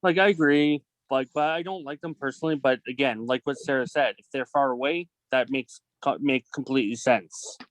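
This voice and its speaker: A man talking casually in a group discussion, halting and repeating himself, often leaning on "like". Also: mid-range voice, no echo, poor audio. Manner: halting, repetitive, heavy use of "like". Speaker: film production student in a group meeting.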